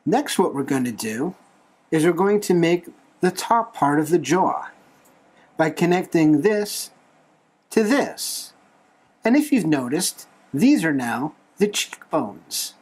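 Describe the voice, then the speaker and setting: Husky, lively drawing tutorial host. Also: medium pitch, takes it slowly. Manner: playful, light humour, encouraging. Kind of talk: step-by-step drawing tutorial narration.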